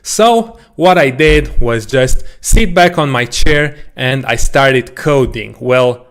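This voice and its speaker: A man whose first language is Romanian, telling a casual personal story to camera as a coding educator, with a relaxed, self-deprecating manner. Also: mid-range voice, slow pace. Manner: relaxed, self-deprecating, frequent restarts and small laughs.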